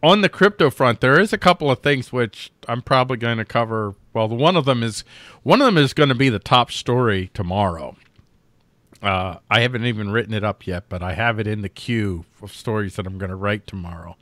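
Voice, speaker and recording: A man's voice: fairly low pitch, a little fast, fairly bright tone, dry recording. Earnest man hosting a talk show. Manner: earnest, argumentative, thinks aloud with restarts. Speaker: show host covering news stories. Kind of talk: political talk show discussion.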